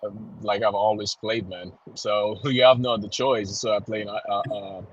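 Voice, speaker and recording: A man's voice: on the low side, fairly even intonation, normal speed, slightly rough, dull audio. A man with a Nigerian accent chatting informally as an interview guest, often adding "you know" and "man". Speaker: soccer club founder, podcast guest. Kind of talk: casual interview chat.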